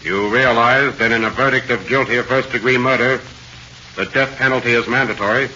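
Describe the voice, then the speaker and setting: An actor playing a stern, formal trial judge with solemn, declamatory delivery in 1940s radio drama. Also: male voice, mid-range voice, steady tone, a little fast, bright, forceful tone. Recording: muffled, hissy 1940s radio recording.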